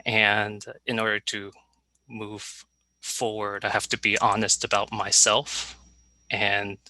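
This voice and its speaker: A young man, a college student, giving a halting, hesitant presentation with many pauses over a video call. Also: lowish voice, flat delivery, sharp tone, dry recording, low-quality recording.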